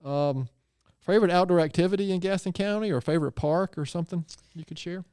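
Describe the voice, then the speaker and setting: A man's voice: sits high, fast talker, darkish tone, smooth, dry recording, clean studio sound. A man hosting a podcast, friendly and chatty, with rambling asides as he asks questions. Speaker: podcast host. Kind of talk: casual interview chat.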